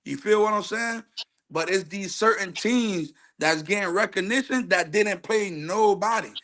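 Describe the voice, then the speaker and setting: A man with a slightly high voice talks as a coach on a casual sports show. He argues emphatically in street slang, hammering points home by repeating phrases. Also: measured pace, a bit bright. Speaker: youth football coach, show guest. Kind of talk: casual, argumentative sports chat.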